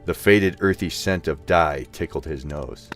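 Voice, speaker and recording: A man narrating a scripted fantasy story as an expressive audio drama narrator. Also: extremely low pitch, a little slow, soft background music.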